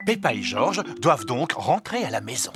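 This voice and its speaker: A man's voice: medium pitch, big pitch swings, moderate pace. A man narrating a preschool cartoon in simple storytelling sentences. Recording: loud music behind the voice.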